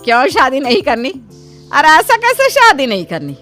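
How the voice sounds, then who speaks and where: A woman playing a scheming, manipulative older mother in an animated moral story, theatrical and scolding. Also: sits high, moderate pace, faint music behind, exaggerated voice. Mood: pleading, insistent. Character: scheming, melodramatic ageing mother nagging her son to marry.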